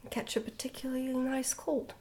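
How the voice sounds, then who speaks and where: A woman reading a children's storybook in a hushed, breathy whisper, gently and carefully, in ASMR style. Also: high voice, little pitch movement, a little fast. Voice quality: whispery, breathy, soft-spoken. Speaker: ASMR book reader.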